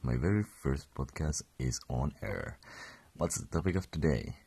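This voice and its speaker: Gravelly deep-voiced young man, just woken. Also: animated, unhurried, warm tone. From Colombia. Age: 20s. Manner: rambling, self-correcting, chuckling. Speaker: podcast host. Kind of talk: casual solo podcast.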